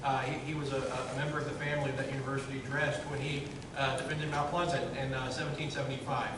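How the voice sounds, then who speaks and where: A man giving a loud, chatty public history lecture to an audience, full of fillers and restarts. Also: medium pitch, little pitch movement, fast. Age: 30s. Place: large echoey room, in front of an audience. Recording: very echoey room, distant, audible noise.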